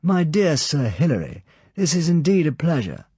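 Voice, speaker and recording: Man putting on a light, high-lifted suave villainous count. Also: normal speed, breathy edge, no echo. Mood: effusive, genial. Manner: effusively courteous, animated. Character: suave, animated, genteel foreign count and villain.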